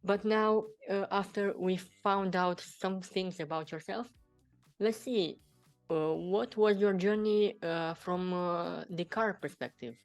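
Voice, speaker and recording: A man hosting his own channel, interviewing a guest at a deliberate pace with many fillers. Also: sits high, clear, resonant tone, soft background music.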